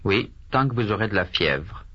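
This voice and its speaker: A man reading a calm doctor, husky and bright. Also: fairly low pitch. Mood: measured. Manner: slow, clearly articulated, repeated phrases. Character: calm adult male doctor. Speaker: language course voice actor. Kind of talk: scripted language drill dialogue. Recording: dull lo-fi course tape.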